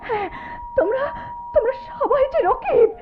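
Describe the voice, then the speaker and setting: A woman's voice: very high voice, animated, over music. An actress playing an anguished, bitter young woman in an emotive, theatrical style of radio drama. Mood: bitter, tearful. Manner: emotive, dramatic, halting repetitions. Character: anguished, bitter young woman, disfigured, speaking to her former love. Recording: tinny, phone-like old radio drama recording, noisy.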